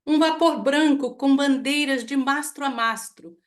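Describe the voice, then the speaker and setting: A woman reciting a poem with measured, deliberate phrasing during an online reading. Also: high-pitched. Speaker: poetry reader and series co-curator. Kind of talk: poetry recitation. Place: video call.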